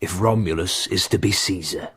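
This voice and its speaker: A hard-bargaining army commander in a historical radio drama. The voice is low and husky, the manner blunt, measured and weighty. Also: male voice, a bit bright, exaggerated voice. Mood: shrewd.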